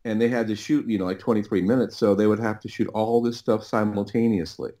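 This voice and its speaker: A middle-aged man with a fairly low voice chats as an interview guest. He tells his story in a casual, rambling way, doubling back on words while he gathers his thoughts. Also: steady tone, mellow. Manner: halting, rambling, repeats words as he thinks. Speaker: podcast guest, audio producer.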